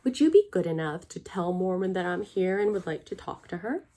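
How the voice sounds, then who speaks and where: An unruffled female dragon from a children's storybook, voiced by a woman. She is dry and matter-of-fact, speaking at a slightly low pitch. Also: big pitch swings, brisk, dark tone.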